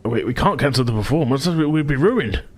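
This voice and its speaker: A man's voice: medium pitch, animated, brisk, pitched above the performer's own voice, close to an everyday voice. A man playing an anxious, deferential dwarf who manages an opera house, stammering and fretful. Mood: worried, deferential.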